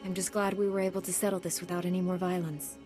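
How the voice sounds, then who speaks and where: An actress playing a composed, principled young warrior heroine in a video game, direct and self-assured. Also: female voice, fairly low pitch, little pitch movement, fast, lightly acted. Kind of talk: video game cutscene acting. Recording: long hall reverb, music behind.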